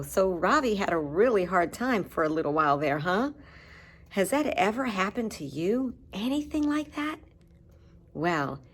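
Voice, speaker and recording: Slow, expressive woman reading to children. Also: mid-range voice. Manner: addresses children directly, dramatic emphasis on key words. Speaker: children's story time host and reader. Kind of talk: expressive picture-book read-aloud for young children.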